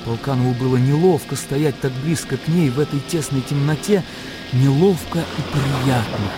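A male narrator tells a literary story for a radio play. His voice is mid-pitched and the delivery dramatic, with clear music underneath. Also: normal speed, poor audio.

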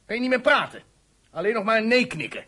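An actor playing a stern, impatient, sceptical police interrogator in a Dutch radio drama, with a husky voice. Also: male voice, sits high, very animated, fairly quick, pushed and bright, exaggerated voice. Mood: sceptical, probing.

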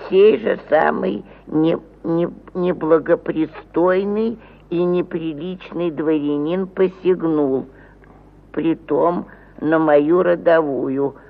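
A pompous provincial landowner in a mid-century radio drama, full of wounded indignation. His voice is fairly high and creaky, and he declaims each phrase slowly and ponderously. The sound is narrow and tinny, like an old broadcast. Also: steady tone. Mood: indignant, pompous. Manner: pompous, ponderous, with stumbles over words. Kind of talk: theatrical mid-century radio play acting. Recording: phone-like narrow-band old 1950 radio recording.

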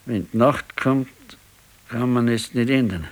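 Radio play: ailing old Bavarian man acted by a male performer, brooding and resigned, plain halting speech, medium pitch. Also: natural voice. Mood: brooding, regretful. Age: older. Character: ailing, weary, brooding old retired husband. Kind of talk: naturalistic 1970s radio drama acting.

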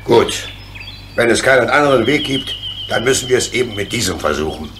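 A man playing a calm, reassuring locomotive driver on an adventure in a children's radio play. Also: medium pitch, a little fast, natural voice. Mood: resolute, calm. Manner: steady, matter-of-fact, reassuring. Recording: noisy radio play with chirping ambience.

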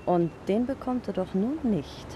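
A woman's voice: mid-range voice, lively intonation, measured pace, mellow, slight background noise, lightly acted. An actress playing a smug, menacing young woman in a 1960s German radio crime drama, with a mocking, silkily threatening theatrical delivery. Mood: silky menace.

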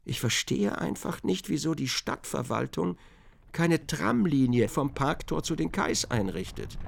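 A man with a creaky voice narrating a literary radio drama expressively, acting out the quoted speech. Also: fairly high pitch, sing-song, unhurried.

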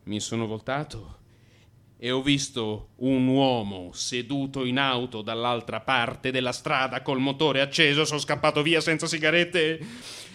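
A man's voice: mid-range voice, fairly even intonation, measured pace, pushed and bright, clean tone. A man narrating a theatrical first-person radio drama monologue, dramatic and changeable in his delivery.

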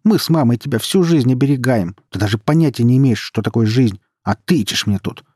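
A man voicing a sharp-tongued young woman, a teasing elder sister who taunts and scolds in an audiobook story. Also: medium pitch, very animated, creaky, slightly rough, no echo, studio quality, pitched above the performer's own voice, heavily acted. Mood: angry, scolding. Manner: taunting, brash, quick to scold.

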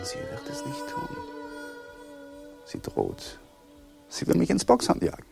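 A bitter, weary husband. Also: male voice, on the low side, creaky, heavily acted. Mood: brooding. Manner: cold, contemptuous, exasperated. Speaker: lead in a radio play. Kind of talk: 1980s German radio drama acting.

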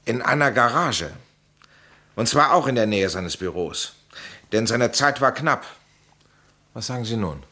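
An actor playing an eager, insistent amateur sleuth in a crime radio play, speaking with dramatic emphasis. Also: male voice, medium pitch, animated, a little slow, long pauses, bright, forceful tone. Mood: eager, arguing. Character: insistent amateur sleuth, a male playwright under suspicion. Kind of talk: vintage German crime radio play acting.

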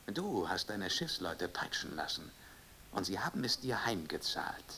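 A man's voice: deep voice, sing-song, a little slow, creaky, pushed and bright, a little hiss or hum, full character voice. An actor playing an earnest, idealistic frogman from the future in a German radio play, with a declamatory delivery. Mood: moralizing. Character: earnest frogman from a morally superior future society.

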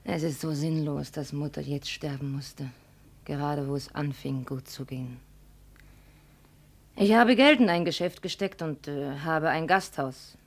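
An actress playing a proud, brisk and regretful daughter come home from the city in a 1950 radio play. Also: female voice, low voice. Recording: vintage 1950 radio recording.

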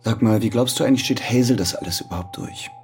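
A man's voice: lowish voice, fast, a little mellow, faint music behind, natural voice. An actor playing a numbed, embittered male prisoner in a radio drama, talking in a wry, detached way. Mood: probing. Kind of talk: radio drama acting with inner monologue.

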